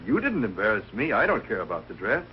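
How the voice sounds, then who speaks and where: An actor playing an earnest, polite young American college suitor in a 1940s sitcom, speaking eagerly and a little haltingly. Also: male voice, fairly low pitch, big pitch swings. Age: young adult. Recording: dull old broadcast, faint hiss.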